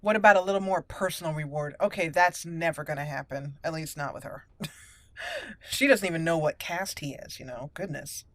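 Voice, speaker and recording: A woman's voice: deep voice, a little fast, no echo. A woman hosting a roleplay let's play, chatty and playful, thinking aloud as she comments on the game. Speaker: YouTube gaming host.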